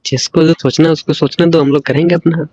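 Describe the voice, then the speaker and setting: A man's voice: on the high side, fairly even intonation, fast talker, a little mellow, husky, no echo. A young man chatting casually and intimately on a phone call in a coaxing, teasing way. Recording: dull lo-fi phone call recording.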